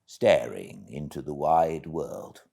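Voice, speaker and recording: A British man narrating a classic storybook as an audiobook reader, with expressive delivery. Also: extremely low pitch, slow, creaky, breathy edge. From Britain.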